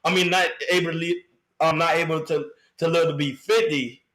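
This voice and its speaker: Male co-host, thirties, fairly high-pitched, blunt and casual, recorded with noticeable room echo. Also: fairly even intonation, fairly quick, fairly bright tone. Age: 30s. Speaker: podcast co-host. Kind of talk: opinionated casual panel talk.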